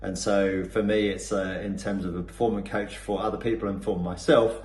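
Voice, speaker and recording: A man's voice: low-pitched, steady tone, normal speed, darkish tone. A man from Cornwall with a Cornish accent, giving an unscripted customer testimonial, hesitant with many fillers and restarts. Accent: Cornish English. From Cornwall, England. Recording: echoey room with faint background noise.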